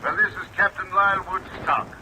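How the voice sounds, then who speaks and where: High-voiced, hesitant, courteous captain. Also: male voice, brisk, bright, forceful tone. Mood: polite, evasive. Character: polite, hesitant ship captain worried about his wife. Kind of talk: 1950s radio drama acting. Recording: noisy old radio recording, rain behind.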